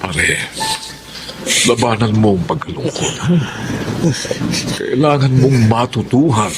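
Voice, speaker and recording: A man's voice: medium pitch, expressive, takes it slowly, very noisy. An actor playing a concerned, earnest male friend in a Filipino radio drama, urging and emphatic, with a Filipino accent. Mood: earnest consoling. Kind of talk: Tagalog radio drama acting. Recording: loud ambient sound effects behind.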